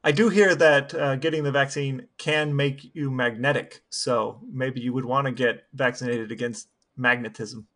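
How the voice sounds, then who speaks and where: A man's voice: mid-range voice, fairly even intonation, moderate pace, few pauses, a bit bright. A man co-hosting a podcast, with dry, matter-of-fact humor in casual banter and read-aloud passages. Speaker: podcast co-host. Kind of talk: casual banter, partly reading texts aloud.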